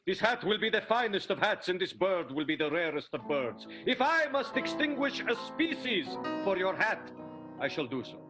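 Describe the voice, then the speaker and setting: A man's voice: high-pitched, big pitch swings, moderate pace, music in the background. An actor playing a melodramatic, ardent leading man with florid, lingering delivery in an improvised radio soap opera. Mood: grandiose. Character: melodramatic ardent silent-film leading man, jealous husband.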